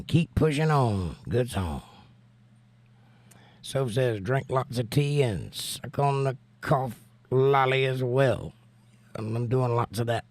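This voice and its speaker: Hoarse American man hosting a livestream. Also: mid-range voice, darkish tone, no echo. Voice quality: hoarse, strained. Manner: folksy, joking, preachy asides. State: laryngitis, losing his voice. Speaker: livestream host. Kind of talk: casual livestream chat, reading viewer comments.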